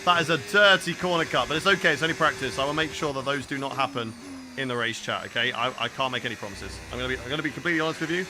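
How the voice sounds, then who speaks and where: A man's voice: medium pitch, rapid-fire. A young British man streaming a racing game, chatting casually and reacting aloud while answering viewers. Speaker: gaming streamer. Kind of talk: live gameplay commentary and chat replies. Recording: racing game engine noise behind. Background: clear racing game car sounds.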